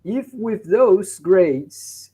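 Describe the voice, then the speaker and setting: A Brazilian professor with a Brazilian Portuguese accent, teaching a class in English, often checking in with "okay?" and repeating points in Portuguese. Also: male voice, high-pitched, expressive, very slow, warm tone, breathy edge. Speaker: university professor. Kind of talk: bilingual class lecture.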